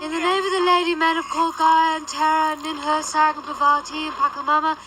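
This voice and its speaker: An actress in a radio drama playing a bemused, conscientious junior investigator, understated and drily deadpan. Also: female voice, high voice, a little fast, lightly acted. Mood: solemn. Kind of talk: naturalistic radio drama acting. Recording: clear ambient noise behind.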